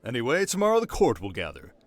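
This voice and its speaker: A man playing a young, sharp, commanding desert king in an ASMR roleplay, speaking in a measured, teasing, possessive way with dramatic pauses. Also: mid-range voice, wildly swooping pitch. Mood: visionary, intense. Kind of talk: scripted ASMR roleplay addressed to the listener.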